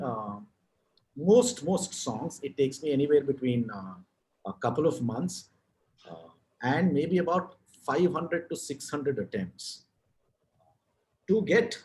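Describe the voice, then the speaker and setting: A man's voice: fairly high pitch, expressive, measured pace, a little mellow, rough recording. A man with a South Indian accent, a guest on a video-call interview, talking in a frank, rambling way with restarts and casual swearing. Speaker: guest, advertising writer and author.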